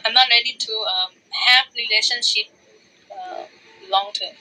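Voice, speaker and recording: A young Thai woman, an MBA student, answering questions on an online video call in hesitant, broken English with many pauses. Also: a little high, bright, forceful tone, rough recording. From Thailand. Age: young adult. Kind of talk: casual video chat in halting English. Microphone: online video chat.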